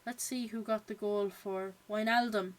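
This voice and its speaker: A woman's voice: mid-range voice, fairly even intonation, normal speed. A woman with an Irish accent hosting a live watch-along stream. She chats casually, reads viewer messages aloud and leans on frequent "um" and "yeah" fillers. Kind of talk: live watch-along chat and match reactions.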